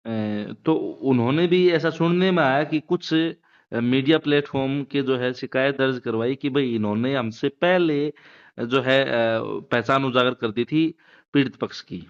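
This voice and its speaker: Male news interviewer, smooth tone, roundabout and hesitant questioning, lo-fi call audio. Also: mid-range voice, measured pace. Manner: hesitant, roundabout phrasing with repeated filler phrases. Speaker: interviewer, local news reporter. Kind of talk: phone interview, asking questions. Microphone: phone call. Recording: muffled, lo-fi phone call recording.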